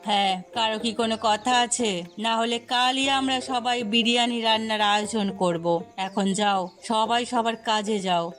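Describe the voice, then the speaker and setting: A woman's voice: fairly high pitch, fairly even intonation, moderate pace, hardly any pauses, fairly bright tone, soft background music, lightly acted. A woman voicing an older, good-natured but weary village matriarch in a cartoon. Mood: bossy. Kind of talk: cartoon voice acting.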